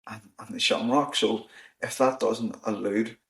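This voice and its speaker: A Northern Irish man hosting a podcast, talking in a measured way with frequent restarts and "you know"s. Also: lowish voice, steady tone, gravelly, fairly bright tone. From Northern Ireland. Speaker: podcast host. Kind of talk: casual discussion. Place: in-person recording on the Shankill, Belfast.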